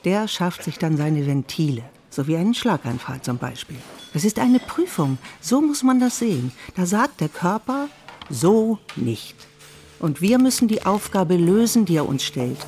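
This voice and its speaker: A woman's voice: lowish voice, sing-song, measured pace, darkish tone, slight background noise. A woman playing an earnest, self-absorbed art therapist and patient who lectures insistently in an audio drama. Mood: lecturing, intense. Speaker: actor in a drama. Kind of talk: dialogue acting in an audio drama.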